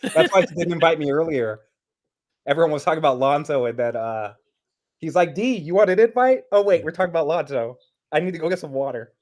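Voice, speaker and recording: A man's voice: fairly high pitch, animated, fast, a little mellow. A man co-commentating a basketball stream, chatting casually and explaining plays in a conversational way. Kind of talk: casual chat and game analysis.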